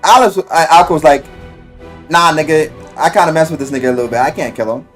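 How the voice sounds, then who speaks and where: A man giving a casual, enthusiastic recap of a story, animated and slangy, swearing freely and acting out the quotes. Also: a little high, fast talker. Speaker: video game and visual novel reviewer. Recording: music playing behind the voice. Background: music.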